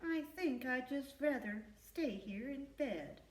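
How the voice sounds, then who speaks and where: A woman's voice: high voice, expressive, slow pace, pitched above the performer's own voice. A woman voicing a carefree, idle young doozer boy from a storybook, in a casual, unbothered way. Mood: carefree, puzzled. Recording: echoey room, distant from the mic.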